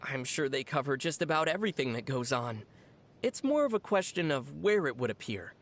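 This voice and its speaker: An actor voicing a young traveling adventurer in a video game, level-headed and gently teasing, explaining things in a dry, playful way. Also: mid-range voice, very animated, brisk, heavy background noise. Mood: dry, explanatory. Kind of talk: video game character dialogue.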